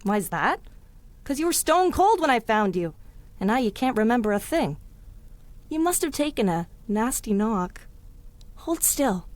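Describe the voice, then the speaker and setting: Plucky, lively-voiced 1930s gal. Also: female voice, fairly high pitch, measured pace, pauses often, no room sound. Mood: friendly, fussing. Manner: spirited, slangy old-time talk. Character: plucky, warm-hearted young city woman, stubborn and curious. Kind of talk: 1930s pulp radio drama acting.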